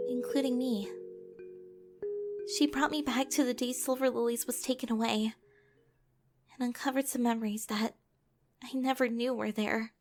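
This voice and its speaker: Audio drama narrator: woman playing an earnest young heroine with a wounded past, high voice, solemn, over prominent music. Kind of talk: scripted first-person audio drama narration.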